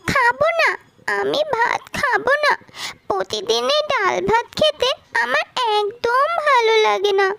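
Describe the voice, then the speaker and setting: A woman's voice: very high-pitched, unhurried, creaky, pushed and bright, heavily acted. A woman voicing a headstrong, affectionate young village girl in a cartoon. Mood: whining, tearful. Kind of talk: cartoon character dialogue.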